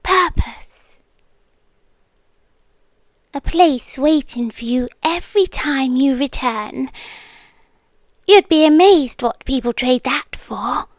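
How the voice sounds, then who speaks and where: British female immortal monster, acted by a woman, high pitch, close dry sound, seductive, possessive, lonely, whispery, teasing ASMR roleplay delivery. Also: lively intonation, dry recording. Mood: coaxing, beguiling.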